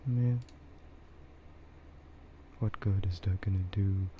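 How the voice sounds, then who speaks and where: A man livestreaming casual ASMR chat in a hushed, rambling way that often slips toward a whisper. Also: fairly even intonation, slow. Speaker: livestream host. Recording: muffled low-fidelity mic with clear background noise.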